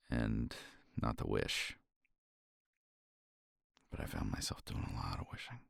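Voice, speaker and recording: A man speaking softly and reflectively in a romantic ASMR roleplay, sighing often and sometimes dropping to a whisper, close to the mic. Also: a bass voice, vocal fry, no echo. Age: older. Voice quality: soft, intimate, drifts into whispers.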